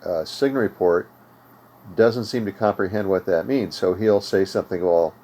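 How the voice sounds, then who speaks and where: An older American man speaks in a deep, low register as he teaches informally. His explanations come in measured, halting stretches with pauses between thoughts. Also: warm tone. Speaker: host of amateur radio tutorial series. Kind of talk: informal instructional talk. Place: home radio room.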